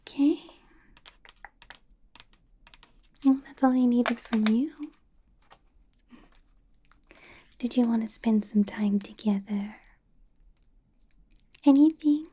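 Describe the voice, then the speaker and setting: Woman whispering as a slow, doting vampire boss. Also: fairly high pitch, slight background noise, natural voice. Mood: affectionate, possessive. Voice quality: soft breathy whisper. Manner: slow, coaxing, fussing, lightly bossy endearments. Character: doting, possessive female vampire boss, older than she looks. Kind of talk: whispered ASMR roleplay, personal attention.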